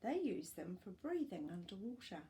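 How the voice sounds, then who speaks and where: An older woman hosting a children's storytime, reading non-fiction aloud with expressive, clear phrasing and explanatory asides for young listeners. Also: mid-range voice, normal speed. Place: small furnished living room, indoors.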